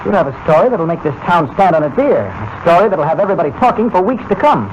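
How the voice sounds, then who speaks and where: An actor playing a level-headed, skeptical newspaper reporter in a 1940s radio drama, brisk, plain-spoken and challenging. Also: male voice, sits high, big pitch swings. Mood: brisk, confident. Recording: noisy, dull old-time radio recording.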